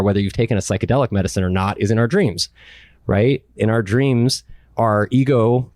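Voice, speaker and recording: Low-voiced enthusiastic panel moderator man. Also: a little mellow, dry recording. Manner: enthusiastic, explanatory, asks long framing questions.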